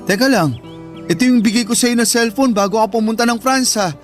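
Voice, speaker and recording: Protective young Filipino elder brother: high voice, earnest, tender radio-drama delivery, music underneath. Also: male voice, brisk. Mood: tender, moved. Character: caring elder brother Jasper, protective and forgiving young man.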